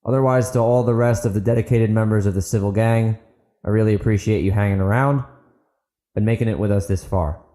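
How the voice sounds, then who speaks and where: A man's voice: on the low side, steady tone, brisk, dark tone. A man narrating and hosting an audiobook fiction podcast. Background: guitar music.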